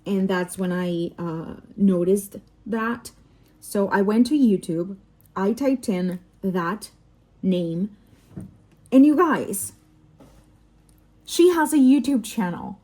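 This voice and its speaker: Mellow-voiced Mexican woman chatting casually. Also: medium pitch, slow pace, long pauses, low-quality recording. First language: Spanish. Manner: animated, digressive, self-interrupting. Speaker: vlogger and crafter, telling work anecdotes.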